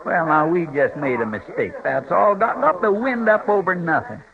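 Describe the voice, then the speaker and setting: An actor playing a talkative, folksy frontier sidekick with a homespun drawl, in a 1950s radio drama. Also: male voice, a little high, lively intonation, moderate pace, gravelly. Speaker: marshal's helper. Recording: tinny old radio with saloon crowd behind.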